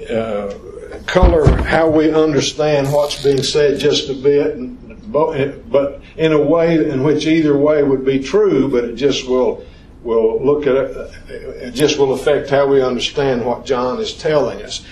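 A man teaching an informal Bible study lesson, talking slowly and haltingly with restarts and questions to the class. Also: mid-range voice, a little mellow. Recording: echoey room.

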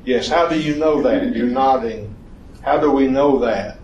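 A man teaching an informal Bible study lesson, talking slowly and haltingly with restarts and questions to the class. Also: medium pitch, a little mellow, muffled recording. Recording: echoey room.

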